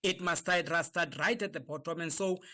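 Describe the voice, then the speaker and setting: Man, bright sharp voice, higher pitch, measured, hesitant. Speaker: professor guest. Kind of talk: radio discussion.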